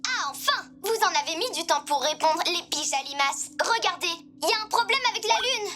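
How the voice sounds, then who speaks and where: A woman's voice: extremely high pitch, lively intonation, fairly quick, pushed and bright, soft background music, full character voice. A woman voicing a bossy, scheming young villain girl in a cartoon, with a haughty, impatient manner. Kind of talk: animated children's series dub.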